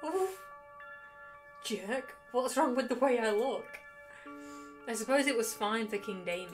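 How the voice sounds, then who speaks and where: A woman's voice: fairly high pitch, lively intonation, unhurried. A woman voicing a sassy, smug young male jester in a visual novel, teasing and theatrical. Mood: offended. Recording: music behind the voice.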